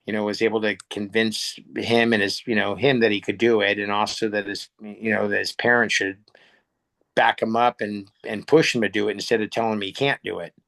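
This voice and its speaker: A man's voice: fairly low pitch, fairly even intonation, brisk, creaky, sharp tone, poor audio. A middle-aged American man talking casually and reflectively as an interview guest, plain-spoken and often searching for words. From United States. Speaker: interview guest, air show pilot.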